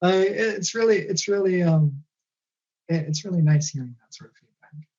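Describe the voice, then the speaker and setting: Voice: mid-range voice, moderate pace, darkish tone. A voice actor talking hesitantly with many ums and giggles, chatting loosely on a podcast. The sound is muffled and dull. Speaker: voice actor guest. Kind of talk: casual podcast chat.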